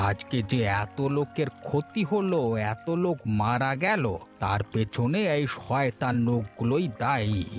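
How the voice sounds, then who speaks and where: A man's voice: mid-range voice, natural voice. A man playing a righteous villager in a cartoon moral story, scolding yet merciful, with an earnest, emphatic delivery. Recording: music behind the voice. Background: background music.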